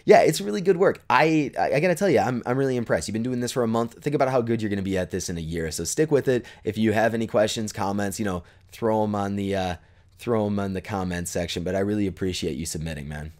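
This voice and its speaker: A man in his thirties casually talking through viewer photos at home, relaxed and enthusiastic, with lots of fillers. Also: on the low side, fast talker. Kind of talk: casual chat. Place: bright living room at home. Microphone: desk condenser mic in frame.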